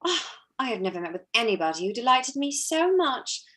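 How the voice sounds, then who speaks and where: A woman's voice: a little high, big pitch swings, fairly quick, a bit bright. Woman playing a haughty, swooping society lady. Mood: gushing. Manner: arch, affected, gushing. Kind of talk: theatrical staged reading of period drama.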